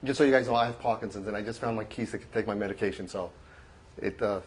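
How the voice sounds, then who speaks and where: A man giving an informal show-and-tell about his hobby at a club meeting, speaking into a handheld mic, full of fillers and restarts. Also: on the low side. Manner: halting, many restarts and fillers. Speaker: club member presenting his collection. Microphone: handheld microphone.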